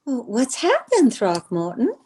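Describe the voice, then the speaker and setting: A woman's voice: fairly high pitch, wildly swooping pitch, measured pace, darkish tone, breathy edge, exaggerated voice. Coquettish belle acted by a woman. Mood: affronted. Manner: flirtatious, gushing, genteel. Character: coquettish, flattering Southern belle lady. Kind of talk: old-time radio comedy acting.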